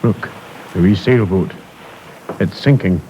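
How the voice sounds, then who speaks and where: An actor playing a deferential, plain-spoken ship's mate in a 1950s radio drama. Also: male voice, sits low, takes it slowly, warm tone, slight background noise. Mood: wondering. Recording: dull 1950s radio recording.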